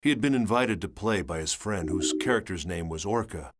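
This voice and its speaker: An actor playing a sober engineer recounting his journal in measured, grave narration for a video game. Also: sits low, lively intonation. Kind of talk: scripted dramatic narration.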